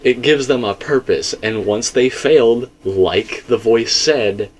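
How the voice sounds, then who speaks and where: A man's voice: medium pitch, slow, low-quality recording. A man hosting a casual, speculative discussion, talking in a rambling, stop-and-start way and often correcting himself. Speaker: host of a game theory discussion.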